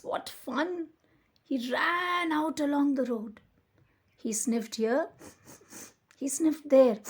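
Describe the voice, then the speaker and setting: A woman reading a children's picture book aloud as a storyteller, with expressive, deliberate emphasis. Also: sits high.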